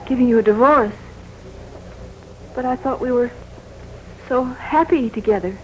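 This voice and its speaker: Actress as a high-voiced, devoted radio wife. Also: female voice, a little slow, narrow, tinny audio, close to an everyday voice. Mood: adoring, anxious. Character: devoted, clinging, emotional wife desperate for love. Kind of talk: 1940s radio drama acting. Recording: hissy 1940s radio recording.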